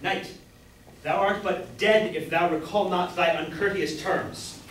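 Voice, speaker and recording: A man acts a gallant mock knight in an old stage comedy. His delivery is pompous and declamatory, in a fairly high voice. He sounds far from the mic in a very echoey room. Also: steady tone, a little slow, fairly bright tone. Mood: defiant. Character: pompous, gallant mock knight-errant, young male apprentice. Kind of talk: amateur staged reading of Jacobean comedy. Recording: distant echoey room, background hiss.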